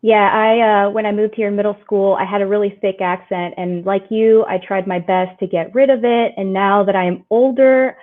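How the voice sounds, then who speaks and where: A woman's voice: medium pitch, fairly even intonation, moderate pace, few pauses. A woman from Puerto Rico moderating an online panel discussion and asking guests follow-up questions. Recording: tinny phone-like audio.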